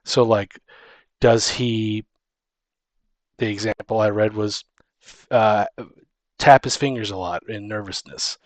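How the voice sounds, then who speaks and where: A man's voice: on the low side, steady tone, no echo. A man co-hosting a casual discussion, talking in a rambling way and often restarting his sentences halfway through. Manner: rambling, halting, restarts sentences mid-thought. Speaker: podcast co-host.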